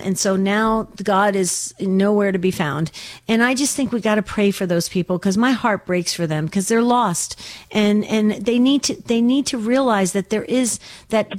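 Woman radio host, studio mic, encouraging call-in chat, quick restarts, mid-pitched voice. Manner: warm, encouraging, quick asides with many restarts.

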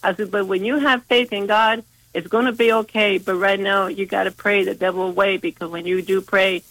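A woman's voice: mid-range voice, steady tone, fairly quick, a bit bright, no echo. Congested woman caller on the phone. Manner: chatty storyteller, folksy, repeats herself. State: congested from a sinus infection. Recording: dry phone line.

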